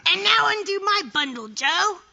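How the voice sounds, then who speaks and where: Shrill, high-pitched, brazen thief. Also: female voice, lively intonation, moderate pace, gravelly, pushed and bright, muffled recording, exaggerated voice. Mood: gloating. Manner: defiant, unashamed. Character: brazen, callous, thieving Victorian working-class woman. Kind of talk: audio drama acting of a Victorian tale.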